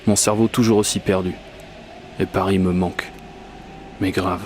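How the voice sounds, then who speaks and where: A man acting out an obsessive, whimsical dreamer in a radio drama. His low voice confides in a colloquial, self-mocking way, like a private monologue, over clear urban and transit ambience. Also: flat delivery, normal speed, darkish tone, a little hiss or hum, natural voice. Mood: confiding, determined. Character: obsessive, whimsical Parisian man confiding a magical commuting quest. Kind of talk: first-person radio fiction monologue. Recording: urban and train ambience behind voice.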